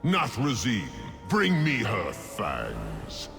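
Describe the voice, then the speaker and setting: Game villain demon, low-pitched, scheming, drawn-out taunts, long reverb, music behind. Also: male voice, huge pitch swings, creaky, heavily acted. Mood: gloating, mocking. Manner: theatrical, mocking, drawn-out. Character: gloating, scheming demon dreadlord lord, male. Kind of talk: video game cutscene acting. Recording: long cavernous reverb under loud music.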